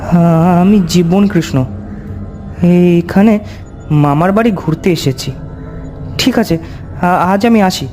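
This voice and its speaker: A man's voice: high-pitched, slow, long pauses, a little mellow, faint music behind. A man playing a timid, polite village boy in a cartoon story, hesitant and meek. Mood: frightened, meek. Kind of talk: dramatized cartoon dialogue.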